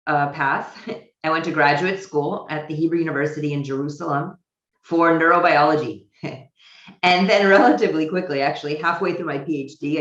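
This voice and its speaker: An American woman speaks at a virtual conference, her voice muffled and a little roomy, as if heard through a video call. She talks with a thoughtful, hesitant pace, pausing on ums and restarting sentences as she works out her thoughts. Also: low-pitched. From United States. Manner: thoughtful, many fillers and restarts. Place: home office in Israel.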